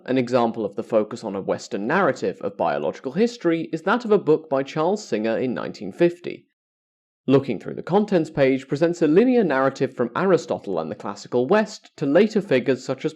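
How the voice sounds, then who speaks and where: A man presents scripted educational material as a podcast host, at a middling pitch. His intonation rises and falls in a lively way, while the wording stays formal and carefully structured.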